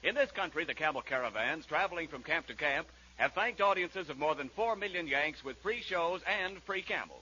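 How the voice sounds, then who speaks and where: A man's voice: fairly high pitch, expressive, fairly quick, hardly any pauses, bright, forceful tone. A man announcing a 1940s radio show in a scripted broadcast style. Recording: muffled, faintly noisy 1940s radio.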